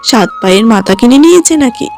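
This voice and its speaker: A woman voicing a selfish, sarcastic younger brother in a cartoon moral story, quick to blame others. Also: on the high side, animated, darkish tone, faint music behind. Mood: sarcastic, defiant. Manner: sarcastic, blaming. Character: selfish, defiant younger brother, greedy salaried man.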